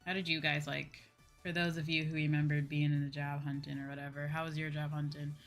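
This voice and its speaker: Low-pitched young woman chatting over music. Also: little pitch movement, fairly quick. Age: young adult. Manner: rambling, informal, repeats phrases for emphasis. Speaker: VTuber livestream host. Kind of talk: casual livestream chat. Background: background music.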